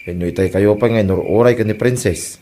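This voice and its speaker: A man playing a protective husband and older brother in a comedy drama, questioning and gruffly indignant. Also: fairly low pitch, brisk, mellow, close to an everyday voice. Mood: puzzled, reluctant. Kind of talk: comedy drama voice acting in Ilocano.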